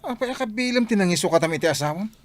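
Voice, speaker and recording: A man's voice: high voice, animated, fast. A man playing a blunt, scolding friend in a radio drama, questioning and exasperated, with a Filipino accent.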